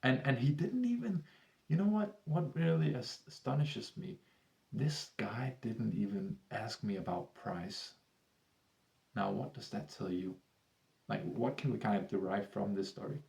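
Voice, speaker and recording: Gravelly Danish-accented man, roomy echo. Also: medium pitch, lively intonation, a little slow, darkish tone. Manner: rambling, many restarts, salesy enthusiasm. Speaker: Danish tutor. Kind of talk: persuasive talk to camera.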